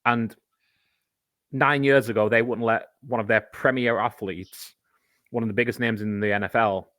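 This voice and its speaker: A man's voice: mid-range voice, fairly even intonation, normal speed, fairly bright tone, dry recording. A man, a podcast guest, explaining a topic in casual chat, talking at length and giving examples.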